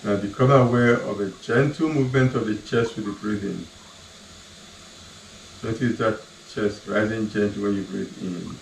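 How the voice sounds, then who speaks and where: A man leading a guided relaxation, giving slow, soft, calm cues with long pauses between them. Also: on the low side, creaky. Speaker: meditation guide. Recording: roomy echo, voice far from the mic, faint ambient hum.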